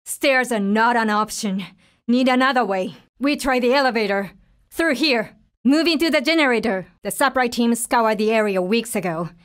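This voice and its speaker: A woman's voice: on the high side, moderate pace, fairly bright tone, close to an everyday voice. A woman playing a tough, determined young survivor of a zombie apocalypse in a video game, speaking in terse, urgent, businesslike lines. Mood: focused, businesslike. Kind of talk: video game voice lines, short dramatic callouts.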